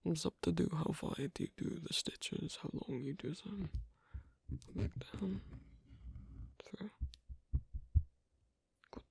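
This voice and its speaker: A performer voicing an awkward, asocial werewolf in an ASMR roleplay, speaking in a husky half-whisper with halting, terse phrases, as a non-native English speaker. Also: medium pitch, dry recording, exaggerated voice. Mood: patient, focused. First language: not English. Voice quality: breathy, husky. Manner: halting, terse, quiet instructions with clipped grammar. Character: asocial, awkward werewolf teaching sewing on a date.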